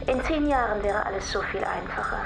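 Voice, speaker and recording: An actress playing a cold, defiant heiress in a radio crime drama, speaking in a cool, matter-of-fact way. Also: female voice, medium pitch, a little fast, background noise, close to an everyday voice. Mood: coolly calculating. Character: cold, defiant heiress who had her father killed.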